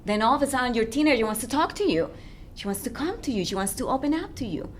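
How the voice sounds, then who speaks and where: A woman's voice: lively intonation, fast. A woman with a non-native English accent giving conversational coaching advice as a guest, earnestly posing questions as she goes. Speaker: relationship coach, interview guest. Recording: faint background noise, low rumble.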